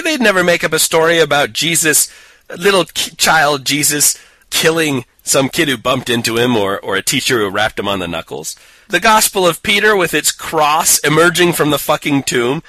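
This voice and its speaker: A man's voice: a little high, sharp tone, no echo, poor audio. A man co-hosting a casual podcast, picking apart a book with a sarcastic, mocking manner and frequent laughter. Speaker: podcast co-host. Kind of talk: casual chat reviewing a book.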